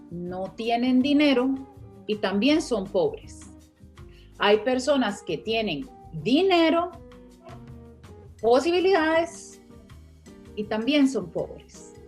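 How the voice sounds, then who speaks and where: A woman from Rica, a finance teacher speaking as the guest in an online interview and explaining her ideas step by step. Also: a little high. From Costa Rica.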